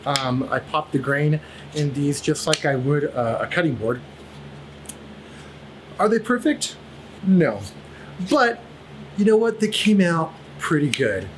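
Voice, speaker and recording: A man's voice: on the high side, takes it slowly, long pauses, low-quality recording. Man, 30s, woodworking vlog host, easygoing shop-floor rambler, faint background hiss. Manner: relaxed, rambling with many fillers and restarts. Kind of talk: casual workshop vlog. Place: cluttered home woodworking shop, indoors. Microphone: camera at a few feet.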